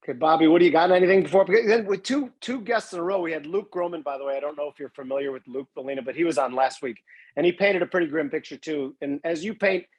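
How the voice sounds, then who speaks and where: Male podcast co-host, higher voice, chatty, jokey, blunt questions. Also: quick pace, low-quality recording. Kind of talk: casual interview chat.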